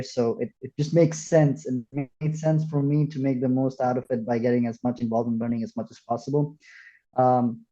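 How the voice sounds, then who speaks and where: A man's voice: medium pitch, mellow, highs cut off. A young man from Bangladesh, a student guest introducing himself earnestly, with frequent fillers. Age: young adult. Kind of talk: self-introduction in an interview.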